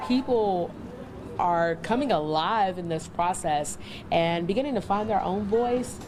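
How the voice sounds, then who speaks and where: A woman's voice: on the low side, animated, a little slow, background noise. A woman in her thirties from the southern United States, an activist answering interview questions conversationally. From Chattanooga, Tennessee, United States. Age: 30s. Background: music bed.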